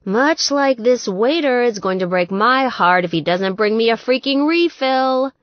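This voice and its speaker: A brash, tipsy gal pal, played by a woman narrator, loyal and blunt, with a slightly slurred, emphatic delivery. Her pitch moves around a lot, and the sound is close and dry, if a bit dull. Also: lively intonation, unhurried, no room sound. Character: tipsy, blunt, loyal female best friend. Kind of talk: audiobook character dialogue.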